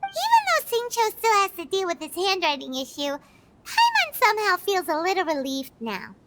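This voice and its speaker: A woman voicing a small, cheerful, chatty childlike companion creature with a squeaky voice in a video game. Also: wildly swooping pitch, normal speed, very noisy, exaggerated voice. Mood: relieved.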